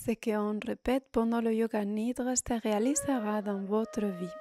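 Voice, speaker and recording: A woman guiding a meditation as a yoga nidra teacher, in short, unhurried phrases with long pauses, giving soothing instructions. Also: fairly high pitch, mellow, slight background noise.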